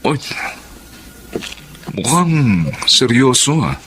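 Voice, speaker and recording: An actor with a Filipino accent playing a trusting, hot-tempered husband in a radio drama. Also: male voice, medium pitch, very animated, drawn-out words, fairly bright tone, exaggerated voice. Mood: puzzled. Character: trusting husband, shocked and hot-tempered when told of betrayal. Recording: noisy radio drama with background ambience.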